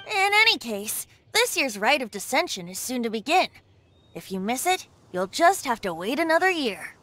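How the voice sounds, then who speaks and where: A woman voicing a youthful, playful male bard who is secretly an ancient god in a video game, lilting and whimsical with a calm wisdom. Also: high voice, normal speed, noisy background. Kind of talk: video game character acting.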